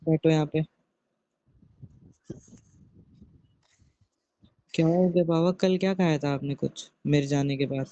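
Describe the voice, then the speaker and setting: A man acts out a concerned young husband in a homemade couple skit. He has a mellow, dark tone and a coaxing, chiding manner, repeating his pleas. The audio is dry and somewhat lo-fi. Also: on the high side, no echo, lightly acted. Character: concerned young husband, soothing yet scolding his wife. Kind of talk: acted phone-recording style couple skit in casual speech. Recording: dull, lo-fi audio.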